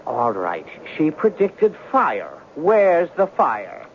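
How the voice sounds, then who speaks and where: Excitable young Irishman, dull radio sound. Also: a little high, takes it slowly, exaggerated voice. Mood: scornful. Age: young adult. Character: lovelorn, excitable young Irish man, mournful and dramatic. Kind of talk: radio drama acting. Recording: dull, noisy old radio broadcast.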